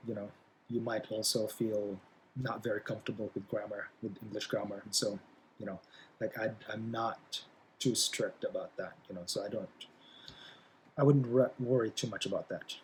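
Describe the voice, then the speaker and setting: A man's voice: lowish voice, slight background noise, low-quality recording. Man, hesitant lecturing with fillers. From Philippines. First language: Bisaya. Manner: hesitant, many fillers, frequent tag "right?". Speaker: college English instructor. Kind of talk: casual recorded class introduction.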